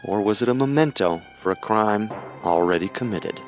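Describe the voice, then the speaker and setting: A man co-hosting a scripted true-crime programme, narrating in a measured, dramatic way with music behind him. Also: fairly low pitch, lively intonation, dull audio. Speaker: co-host narrator.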